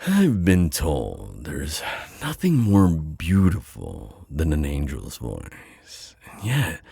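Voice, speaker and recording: A man playing a teasing, predatory buyer at a supernatural auction in a flirty, intimate ASMR roleplay, with a deep, breathy, taunting delivery. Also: huge pitch swings, dark tone, no echo, heavily acted. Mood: admiring, flirtatious. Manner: slow, taunting, smooth-talking with drawn-out pauses.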